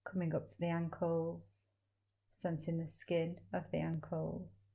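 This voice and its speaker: Lady meditation teacher, really low relaxed voice, gentle and unhurried, leaves big pauses. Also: creaky. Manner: slow, gentle, soothing instruction with long pauses. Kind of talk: guided meditation.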